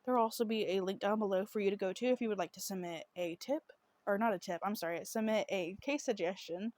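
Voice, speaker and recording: A woman hosting a true crime podcast with a slightly rough voice, narrating a case from notes in an apologetic way and often tripping over her words. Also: medium pitch, fast, a little mellow, no room sound. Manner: apologetic, trips over words, many fillers.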